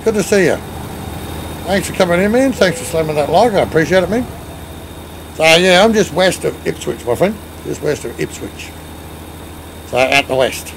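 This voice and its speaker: A middle-aged man with a strong Australian accent chats through a headset while streaming, teasing his friends and thanking viewers by name. His voice sits a bit high, with a faint background hum. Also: animated, normal speed, vocal fry, pauses often, low-quality recording. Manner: banters, thanks chat viewers, swears playfully. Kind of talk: casual gaming chat. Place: home gaming room, indoors.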